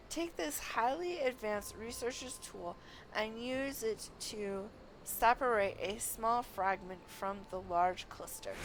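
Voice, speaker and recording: A woman's voice: medium pitch, a little hiss or hum, rough recording. Woman putting on a pompous, declaiming game scholar. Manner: pompous, alliterative, theatrical declaiming. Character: flamboyant, self-important scholar guiding an adventurer. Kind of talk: reading game quest dialogue aloud.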